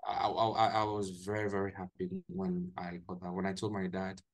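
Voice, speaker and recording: A young man with a Ghanaian accent, a guest telling his story in a relaxed way on a video call from a small room, often restarting his sentences. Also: deep voice, a little fast. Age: young adult. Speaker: guest, English teacher. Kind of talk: casual video-call interview.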